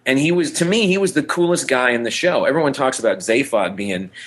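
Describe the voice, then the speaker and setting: A man co-hosting a podcast, chatting casually and enthusiastically in a rambling, halting way that repeats words. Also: medium pitch, animated, fairly bright tone. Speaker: podcast co-host. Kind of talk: casual chat about pop culture.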